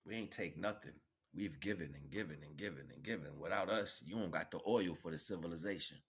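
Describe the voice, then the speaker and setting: A man's voice: low voice, a little fast, creaky, fairly bright tone, breathy edge. Man preaching self-worth in an improvised monologue. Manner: preaching, emphatic repetition, colloquial phrasing. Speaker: livestream host, motivational speaker. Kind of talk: impassioned improvised motivational monologue.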